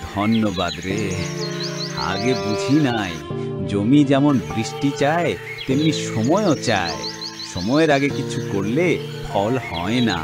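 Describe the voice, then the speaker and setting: A man voicing a stubborn, gullible, dreamy village farmer in an animated moral story, talking in rustic colloquial dialect. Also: lowish voice, slow, pauses often. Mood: resolved. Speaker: voice actor. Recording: loud music under the voice.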